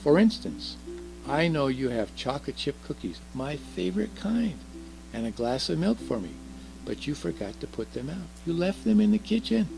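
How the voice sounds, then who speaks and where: Man as a kindly old Christmas gift-bringer, gravelly creak, lively intonation, genial, reassuring, storybook telling. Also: a little high, normal speed, music in the background, voice pushed up, poor audio. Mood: kindly, assured.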